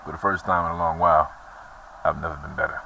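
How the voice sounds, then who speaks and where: An actor playing a weary, troubled man bent on revenge, speaking slowly and grimly in a horror audio drama. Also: a bass voice, flat delivery, gravelly, pushed and bright, recorded from a distance, slight background noise, full character voice, poor audio. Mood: calm resolve.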